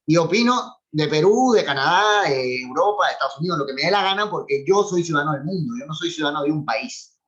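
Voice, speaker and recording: A man's voice: fairly high pitch, lively intonation, quick pace, fairly bright tone. A man from Cuba with a Cuban accent, chatting on a video call, talkative and opinionated, often laughing as he talks. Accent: Cuban Spanish. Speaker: former cultural animator. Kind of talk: casual chat on a video call. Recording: dull lo-fi video call audio.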